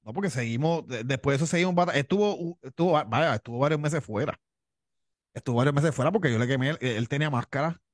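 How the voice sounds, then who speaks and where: A man's voice: mid-range voice, quick pace, no room sound, clean studio sound. A man with a Cuban accent, a wrestler telling his story in an interview, boastful and tough, often repeating himself. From Cuba. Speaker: pro wrestler guest.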